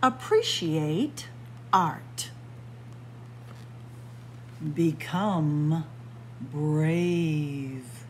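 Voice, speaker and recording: A middle-aged woman reads aloud to young children, taking each word slowly and deliberately. Her voice rises and falls in lively, animated swoops. A faint hum sits under the close webcam sound of a small library room. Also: deep voice. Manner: slow, deliberate word-by-word reading with lively teacherly emphasis. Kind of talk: picture-book read-aloud for kids. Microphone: webcam or phone close up at arm's length.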